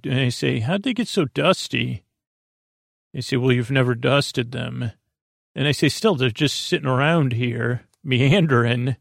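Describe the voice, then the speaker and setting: A man with a creaky voice hosting a sleep podcast, soothing and meandering, halting as he wanders off on gently absurd, self-deprecating tangents. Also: mid-range voice, no echo, studio quality. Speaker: host of a bedtime sleep podcast. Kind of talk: rambling, soothing bedtime monologue.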